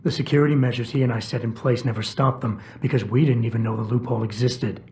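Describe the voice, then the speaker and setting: An actor playing a stoic, probing ex-cop security chief in a game, with a hushed gravelly voice, terse and deadpan. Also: male voice, medium pitch, quick pace, lightly acted. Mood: guarded, insistent. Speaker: video game protagonist. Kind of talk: video game cutscene acting. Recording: steady background ambience noise.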